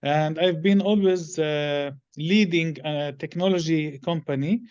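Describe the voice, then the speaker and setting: A middle-aged man with a Lebanese accent answering interview questions in halting, hesitant phrases. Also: fairly high pitch, a little mellow, smooth, lo-fi sound. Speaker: interview guest, business executive.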